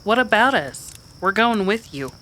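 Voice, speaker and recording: A man voicing a fiery, stubborn frontier woman with a defiant, sharp manner in a Western radio drama. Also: sits high, animated, measured pace, slight background noise, full character voice. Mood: angry. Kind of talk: old-time radio Western acting.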